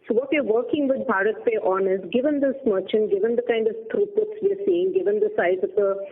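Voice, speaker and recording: Heard through a narrow telephone line, a woman gives an executive's explanation on a conference call. Her voice has plenty of creak and moves animatedly up and down in pitch. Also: very animated, a little fast. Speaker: bank executive answering analysts.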